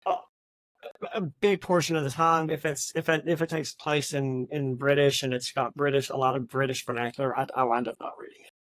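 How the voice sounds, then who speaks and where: A man's voice: medium pitch, steady tone, fairly quick, fairly bright tone, no room sound. A man co-hosting a podcast in a casual book discussion, hesitating and repeating words as he goes. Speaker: podcast co-host.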